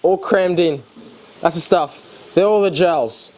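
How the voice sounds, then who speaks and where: A man's voice: on the high side, slow pace, a little mellow. An Australian man chatting casually as a vlogger. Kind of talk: casual vlog chat. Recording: noisy handheld vlog audio.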